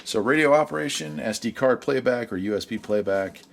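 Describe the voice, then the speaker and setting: A man's voice: medium pitch, fairly quick, gravelly. A man giving a casual product review in a folksy, easygoing way.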